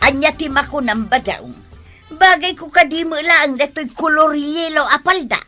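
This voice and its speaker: A woman's voice: high voice, moderate pace, pushed and bright, soft background music. An actress with an Ilocano accent playing a chatty, curious village woman in a comedy drama. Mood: keen.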